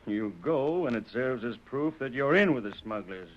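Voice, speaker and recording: A man's voice: mid-range voice, animated, moderate pace, natural voice. Muffled radio-Western crooked patrolman played by a man. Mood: sly, conspiring. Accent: American. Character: ornery, double-dealing old-West border patrolman. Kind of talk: 1940s Western radio drama acting. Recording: muffled old radio recording.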